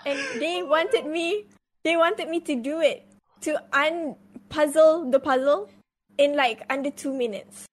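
A woman's voice: high-pitched, measured pace. A young adult woman, a guest on a live stream, chatting casually and swearing as she tells a story.

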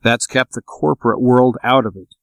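A man hosting an informal radio talk, explaining practical tips in a plain-spoken, unhurried manner. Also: mid-range voice, steady tone, creaky, fairly bright tone, no room sound. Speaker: radio show host giving advice.

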